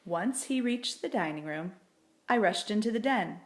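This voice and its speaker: A woman's voice: medium pitch, animated, moderate pace. A woman narrating a children's story from a script as an audiobook reader.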